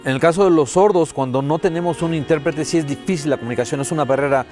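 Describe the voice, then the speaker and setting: A man with a Mexican accent voicing a deaf guest's words as an interpreter in a TV interview, steady and first-person with many "eh" fillers. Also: fairly high pitch, fast talker, faint music behind.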